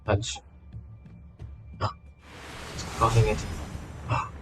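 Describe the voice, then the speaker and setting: A man casually commentating on a livestreamed game and chatting with viewers in halting, offhand remarks. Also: lowish voice, completely flat delivery. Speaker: game streamer. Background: faint game music.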